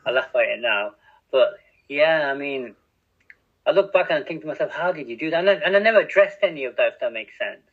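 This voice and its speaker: A man's voice: fairly high pitch, animated, a little fast, slightly rough. A middle-aged man from England, speaking openly and reflectively as a podcast guest, often restarting his sentences and laughing at himself. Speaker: podcast guest, radio community editor. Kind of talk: candid conversational interview. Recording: tinny phone-like line.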